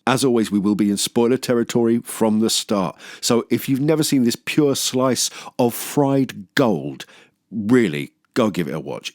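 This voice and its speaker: A man's voice: fairly low pitch, no echo, studio quality. A man hosting a film review show, reading scripted narration with jokey, theatrical asides.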